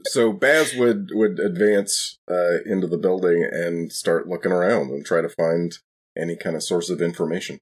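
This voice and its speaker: Deep raspy guy playing a tabletop rpg, casually talking through his moves. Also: moderate pace. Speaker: tabletop game player. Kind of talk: casual game-table chat.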